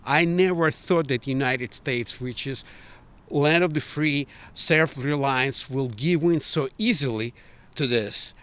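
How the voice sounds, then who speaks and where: A man's voice: medium pitch, slow, fairly bright tone, smooth. An older man from Ukraine with a Ukrainian accent, giving emphatic, earnest, opinionated answers as an interviewee. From Ukraine, former Soviet Union.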